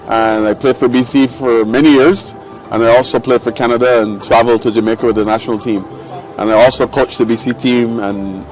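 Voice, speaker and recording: A middle-aged man from Barbados, a former cricketer talking in a measured, thoughtful way. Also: medium pitch, low-quality recording. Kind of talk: casual interview chat.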